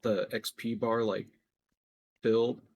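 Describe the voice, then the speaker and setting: Low-voiced man playing a tabletop game. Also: flat delivery, takes it slowly, darkish tone, slight background noise. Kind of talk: casual gaming chat.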